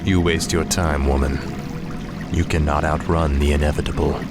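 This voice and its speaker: A haughty death knight who sneers at his enemies and barks orders, his voice low and hard. Loud game music rolls under every line. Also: fairly even intonation, normal speed. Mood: cold. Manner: cold, arrogant, commanding. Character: arrogant male death knight, fallen prince. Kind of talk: video game cutscene acting.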